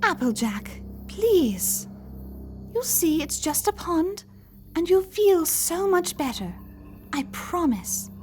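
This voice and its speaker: A woman's voice: extremely high pitch, big pitch swings, slow, a little mellow, faint music behind, full character voice. A woman voicing a refined young female unicorn, genteel, soothing and skeptical, in a dramatized horror story. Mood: coaxing. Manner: genteel, coaxing.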